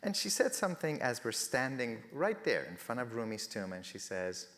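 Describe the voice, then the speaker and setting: A man's voice: medium pitch, big pitch swings, normal speed, fairly bright tone. A man whose first language is Persian, giving an earnest, poetic talk as a speaker at a faith festival and repeating phrases for emphasis. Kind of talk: inspirational talk to an audience. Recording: long hall reverb.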